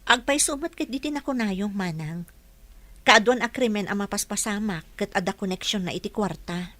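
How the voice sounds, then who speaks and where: A woman's voice: medium pitch, moderate pace, fairly bright tone, dry recording, lightly acted. A Filipino woman playing an earnest, patient single mother who works as a caregiver in a radio drama. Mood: curious.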